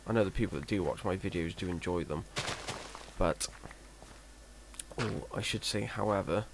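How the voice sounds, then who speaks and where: A man's voice: low-pitched, normal speed. A man, rambling hesitantly and self-deprecatingly through casual gameplay commentary. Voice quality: monotone, self-described. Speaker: Minecraft let's player. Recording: noisy home recording.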